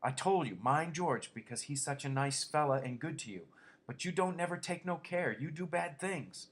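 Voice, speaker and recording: A nagging old aunt scolds in a high, shrill voice, as a man puts her on for a storybook reading. She harps on and on, sharp and reproachful. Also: lively intonation, moderate pace. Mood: scolding.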